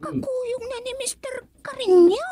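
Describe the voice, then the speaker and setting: A woman's voice: very high voice, unhurried, heavy background noise. A woman playing a gossiping schoolchild in a radio comedy drama. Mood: musing.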